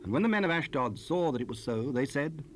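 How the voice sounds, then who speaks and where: A man's voice: a little high, animated, fast. A man narrating scripture in archaic English for a dramatized Bible recording. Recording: noisy raw cassette rip.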